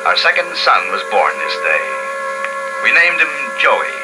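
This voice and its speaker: An actor playing a calm, practical survivor who narrates his story in a measured way, in vintage radio drama style. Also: mid-range voice, over loud music, low-quality recording. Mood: narrating. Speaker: narrator and lead character.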